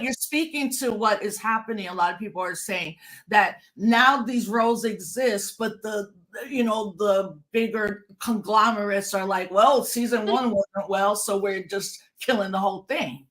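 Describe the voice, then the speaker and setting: A woman hosting a casual interview, who talks in a conversational, affirming way and often clears her throat. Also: medium pitch, normal speed, a bit bright. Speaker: host.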